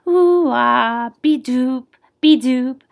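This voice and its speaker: A woman reading a picture book aloud to children as a librarian, expressive and playful, with asides to her listeners. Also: sits high, drawn-out words, creaky. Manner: animated, playful with scat-like sound words.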